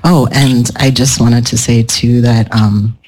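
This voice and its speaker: A man's voice: mid-range voice, fairly even intonation, unhurried, a little mellow, poor audio. A man speaking as a panelist to a live audience, thoughtful and rambling, often restarting his sentences. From United States. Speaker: panelist, somatic therapist. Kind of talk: casual panel talk. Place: event room with a live audience.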